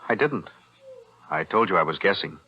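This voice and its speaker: An actor playing a calm, shrewd attorney with a measured, authoritative manner in a 1940s radio thriller. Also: male voice, sits low, husky, full character voice. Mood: calm, probing. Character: calm, shrewd male attorney investigating a murder. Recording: dull 1940s radio recording.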